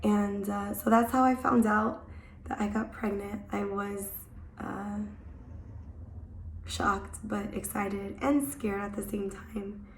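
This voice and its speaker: A young woman vlogging in a casual, rambling way, her voice hoarse from a cold and just coming back. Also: mid-range voice, flat delivery, slow, roomy sound, a little hiss or hum, poor audio. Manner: chatty, rambling, many "like" fillers. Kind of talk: casual chat to camera. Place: at home.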